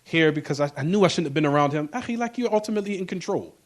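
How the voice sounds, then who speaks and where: Male Islamic class teacher, notably high voice, emphatic informal lecturing with repetition and rhetorical check-ins. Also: lively intonation, racing. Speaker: religious teacher giving a class.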